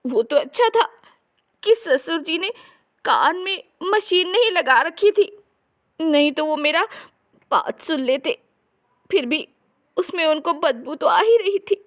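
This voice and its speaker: A woman's voice: extremely high pitch, expressive, takes it slowly, long pauses, pitched above the performer's own voice, full character voice. A woman voicing an embarrassed, meek young daughter-in-law in a family comedy story, with a plaintive, confiding whine. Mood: embarrassed, complaining. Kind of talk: acted dialogue in a Hindi family comedy story.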